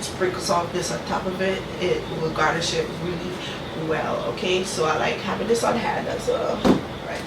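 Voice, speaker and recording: A woman's voice: fairly low pitch, fairly even intonation, moderate pace, very noisy. Stuffy-nosed woman in an echoey kitchen. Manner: chatty, keeps saying "you guys" and "okay". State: congested from a cold, talking through her nose. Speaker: cooking channel host. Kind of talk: casual vlog. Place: home kitchen. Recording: echoey room, mic at a distance.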